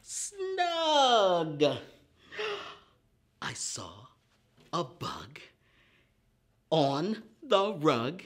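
A middle-aged man hosting a preschool storytime, teaching and reading in a theatrical, drawn-out way with gasps and dramatic pauses. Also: high voice, huge pitch swings. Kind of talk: children's storytime lesson with expressive poem reading. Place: indoor set with painted forest backdrop.

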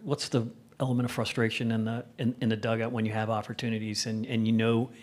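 A man's voice: lowish voice, level tone, fast, darkish tone. A man, a reporter asking a rambling question at a press conference with restarts.

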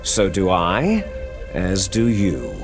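An actor playing a bitter, fanatical villainous clone soldier in a video game, arrogant, menacing and condescending, with drawn-out theatrical phrasing. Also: male voice, deep voice, huge pitch swings, heavily acted. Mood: contemptuous lecturing. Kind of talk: video game cutscene acting. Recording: music behind the voice.

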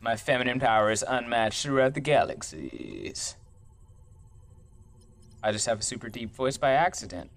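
A man's voice: medium pitch, big pitch swings, moderate pace, creaky, pauses often. A young man doing goofy, rambling let's-play commentary at home, joking while he plays. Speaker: gaming streamer.

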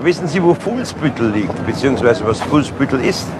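An actor playing a composed, probing police inspector in a radio crime drama, speaking matter-of-factly. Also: male voice, medium pitch, lively intonation, fairly quick, lightly acted. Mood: calm, firm. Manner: matter-of-fact, questioning. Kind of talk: 1970s German radio crime drama acting. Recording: noisy old radio play recording.

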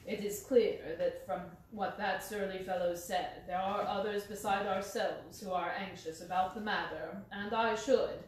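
A woman voicing a calm, clever male detective in a lower, bland and measured tone, recorded from a distance in a roomy space. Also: natural voice. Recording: roomy, distant mic, faint hiss.

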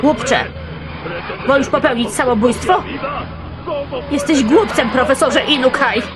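A high-voiced anime villain, played by a woman in a fan dub, speaks with dramatic menace. Music runs behind the voice. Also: unhurried, a crowd in the background, rough recording. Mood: angry.

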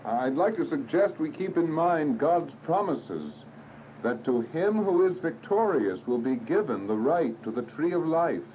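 A man's voice: fairly high pitch, lively intonation, unhurried, a little hiss or hum. An actor playing an earnest, pious man who leads others in a radio drama. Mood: calm, reassuring. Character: earnest, pious man leading a fleeing group. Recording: muffled radio drama, faint music.

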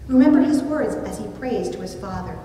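A middle-aged woman delivering a sermon with storytelling in a measured, expressive way, with deliberate phrasing. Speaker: preacher. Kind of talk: sermon with storytelling, read from notes. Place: small indoor church room, at a pulpit. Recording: distant mic, very echoey room, rumbly noise.

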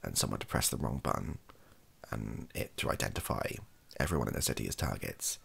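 Very deep, gravelly British man, softly spoken. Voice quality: soft, gravelly, husky. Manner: rambling, hesitant, self-deprecating. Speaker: video host, ASMR creator. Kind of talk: improvised softly spoken ASMR ramble. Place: small bedroom office, indoors.

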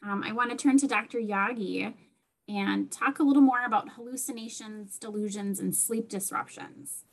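A young woman with a mid-pitched voice and a touch of vocal fry moderates a panel discussion over a video call. She leads steadily with questions. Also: normal speed, low-quality recording.

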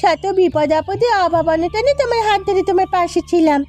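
A woman voicing a long-suffering, devoted village wife in a cartoon, earnest and expressive. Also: very high voice, a little fast. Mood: earnest, hopeful. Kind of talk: cartoon voice acting.

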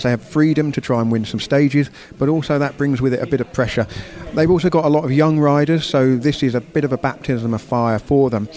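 A man's voice: mid-range voice, darkish tone. A man co-hosting a cycling podcast, giving conversational race round-ups and commentary. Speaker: sports podcast co-host. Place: bar of a sports complex.

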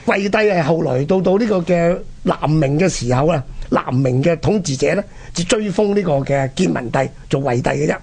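Man, Hong Kong Cantonese accent, raspy and creaky, lively swooping delivery, dramatic history storyteller. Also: on the high side, moderate pace, few pauses. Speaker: radio history programme presenter. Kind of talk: animated conversational history storytelling.

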